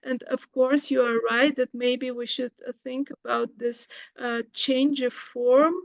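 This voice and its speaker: A woman's voice: high-pitched, little pitch movement, a little slow, creaky, no echo, dull audio. A middle-aged woman, a lecturer answering audience questions, hesitant with many fillers and restarts. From Bulgaria. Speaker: guest lecturer. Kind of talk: academic Q&A answer.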